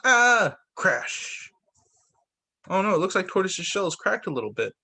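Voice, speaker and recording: Animated male teacher reading aloud. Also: high voice, fast talker, creaky, breathy edge. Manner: expressive, comments aloud on the pictures. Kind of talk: picture-book read-aloud with off-the-cuff remarks.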